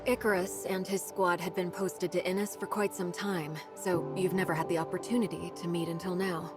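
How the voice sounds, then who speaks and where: A woman's voice: on the low side, flat delivery, normal speed, close to an everyday voice. A woman playing a composed, direct and attentive female colleague in a video game. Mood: inquiring. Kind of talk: scripted video game dialogue. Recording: game music behind the voice.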